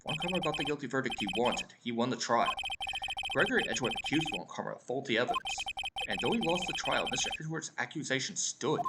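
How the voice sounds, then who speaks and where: A woman's voice: rumbling low, animated, quick pace, very noisy. A woman doing a pompous old lawyer gent. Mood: lecturing. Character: pompous elderly male defense lawyer. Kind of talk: reading game dialogue aloud. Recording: distant mic with loud background music.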